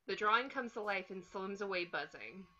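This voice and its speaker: Woman narrator reading out stage directions, bright voice, audio a little muffled. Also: mid-range voice, lively intonation, normal speed. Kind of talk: scripted narration.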